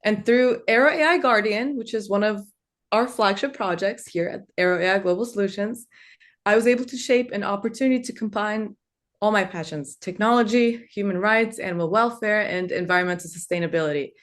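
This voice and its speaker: A woman in her twenties, born in Turkey with Turkish as her first language, speaking earnestly on a webinar panel with frequent ums and uhs. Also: medium pitch. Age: 20s. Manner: earnest, fills pauses with um and uh.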